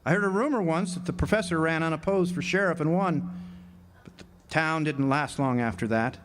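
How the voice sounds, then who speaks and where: A man's voice: a little high, fairly quick, close to an everyday voice. A man playing a wry drifter in a radio drama, telling his tale in a folksy way. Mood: wistful, wry. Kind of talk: radio drama narration and dialogue. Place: live amateur radio drama performance at an amphitheater.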